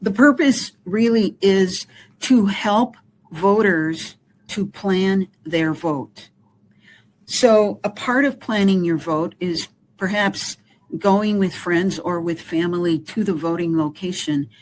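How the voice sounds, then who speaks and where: A woman from a community voting group walks viewers through voter resources. She speaks carefully and steadily, and her voice sits on the lower side. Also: slight background noise, poor audio. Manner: measured, explanatory, careful. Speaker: community voting volunteer guest. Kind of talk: explanatory interview answers.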